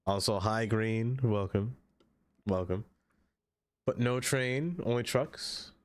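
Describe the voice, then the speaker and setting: A man streaming a game in casual livestream chat, with a stuffed-up nose, chatty and excitable as he greets viewers by name. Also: on the low side, slow, dry recording, studio quality.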